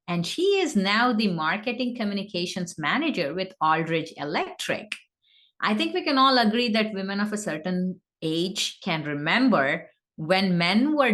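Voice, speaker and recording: A woman's voice: mid-range voice, fairly bright tone. A woman co-hosting a podcast, introducing the guest in an earnest, encouraging manner. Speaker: podcast co-host. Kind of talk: partly scripted podcast introduction.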